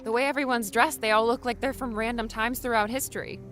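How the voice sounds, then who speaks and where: A woman's voice: on the high side, steady tone, fast talker, fairly bright tone, close to an everyday voice. A woman playing a curious, bewildered young woman newcomer in an audiobook, speaking in an incredulous, questioning way. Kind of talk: audiobook character dialogue. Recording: music behind the voice.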